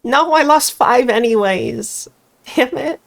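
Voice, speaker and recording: A woman's voice: a little high, expressive, slow pace, creaky. A woman reading a horror visual novel aloud as she plays, mixing storybook-like narration with casual commentary. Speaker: player narrating a game playthrough.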